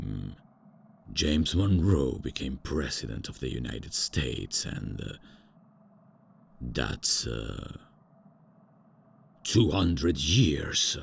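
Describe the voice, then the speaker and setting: A man's voice: extremely low pitch, vocal fry, breathy edge, exaggerated voice. A man voicing a haughty, out-of-touch ancient male vampire lord in a comedy ASMR roleplay, with a pompous, theatrical manner. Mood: bewildered.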